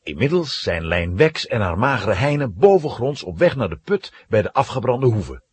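A man narrating a children's radio play with dramatic, suspenseful, animated storytelling. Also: fairly low pitch, normal speed, husky.

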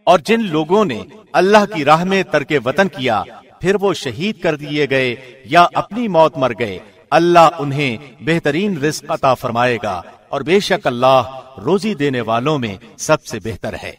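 A man reads a scripture translation from a script like a radio presenter, formal and measured, with clear phrasing. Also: a little high, lively intonation.

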